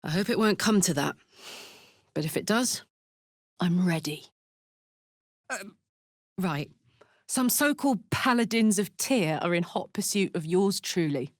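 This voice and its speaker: Battle-hardened, big-hearted tiefling warrior woman for a video game; blunt, sweary, unhurried, dry studio sound. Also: on the low side, animated, no echo. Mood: earnest. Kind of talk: video game character voice lines.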